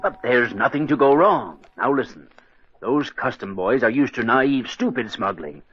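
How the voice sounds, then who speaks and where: An actor playing a cocky, impatient diamond smuggler in an insistent, persuasive old-time radio drama style. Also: male voice, medium pitch, expressive, normal speed, slightly rough. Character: scheming smooth-talking male diamond smuggler, impatient and cocky. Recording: dull, lo-fi old radio recording.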